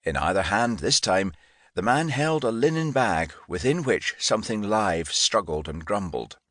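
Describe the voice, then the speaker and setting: A man's voice: on the low side, expressive, normal speed, a bit bright, no echo, studio quality. A man reading historical fiction as a scripted literary audiobook narration.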